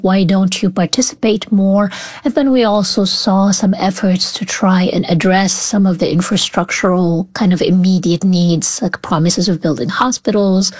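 A woman from Morocco, speaking as an expert guest and explaining policy in a measured, analytical way with frequent pauses. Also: mid-range voice, a little mellow, no room sound. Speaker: expert guest, think tank senior fellow.

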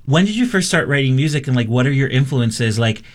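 A man's voice: medium pitch, lively intonation, fairly quick. A man co-hosting a local radio show, friendly and chatty as he asks the guest questions. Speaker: radio co-host.

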